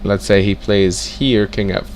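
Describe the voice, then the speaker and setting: A young man with a lowish voice talks through a chess lesson, reading out moves one by one and breaking into excited exclamations. A faint air-conditioner hum sits under his voice. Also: measured pace. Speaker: chess tutor. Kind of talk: casual screen-share lesson, thinking aloud.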